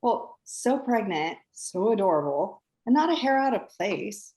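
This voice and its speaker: A woman's voice: medium pitch, animated, measured pace, a little mellow, natural voice. A woman playing a gushing, meddling pregnant best friend with a teasing, theatrical manner in a screenplay table read. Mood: teasing, pointed. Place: remote video call.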